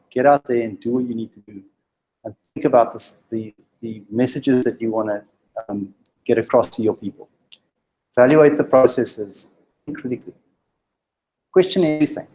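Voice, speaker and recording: A man speaks to a meetup crowd in a mellow, warm tone. His delivery halts and restarts, with a stutter and plenty of ums. He urges his listeners plainly and directly. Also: mid-range voice, rough recording. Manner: halting, with frequent ums and restarts; direct, exhorting. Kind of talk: informal presentation to a live group.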